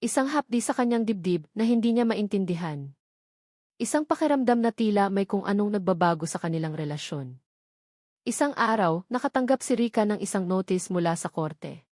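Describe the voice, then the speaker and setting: A woman's voice: medium pitch, a little mellow, no echo, studio quality. A woman reading a scripted romance story as a dramatic narrator.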